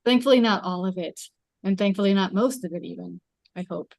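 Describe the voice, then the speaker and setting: Woman with a mid-pitched voice, dry sound. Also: fairly quick, vocal fry, no room sound. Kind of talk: casual group discussion.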